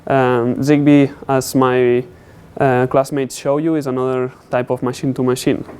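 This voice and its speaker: Young man giving a halting technical presentation. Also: mid-range voice, little pitch movement, darkish tone, slight background noise. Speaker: student presenter.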